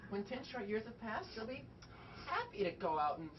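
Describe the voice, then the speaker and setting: A woman's voice: on the high side, big pitch swings, moderate pace, dull audio. A woman on stage playing a fussy, pleading elderly servant in a dramatic, exclamatory manner. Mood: excited, coaxing. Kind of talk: theatrical stage acting. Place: theatre stage, picked up from a distance. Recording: distant stage recording with clear background noise.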